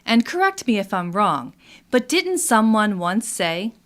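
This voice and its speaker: An actress playing an enthusiastic town guide in scripted radio theatre, with a theatrical delivery. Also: female voice, medium pitch, big pitch swings, measured pace, fairly bright tone. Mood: cheerful, curious. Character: enthusiastic woman guide strolling through a river town. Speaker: actor in a community radio play.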